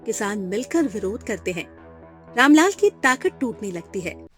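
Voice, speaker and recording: A woman's voice: medium pitch, sing-song, moderate pace, a bit bright. A woman narrating a scripted moral story for a cartoon. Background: music.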